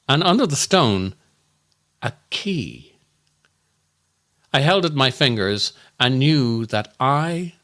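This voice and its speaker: A male comedian narrates a scripted story at an unhurried pace. His intonation is lively and wide-ranging, and he lends the dark humour a deliberate, theatrical weight. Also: medium pitch. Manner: dramatic, deliberate, darkly comic delivery. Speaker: comedian and podcast host. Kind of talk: scripted comic monologue storytelling.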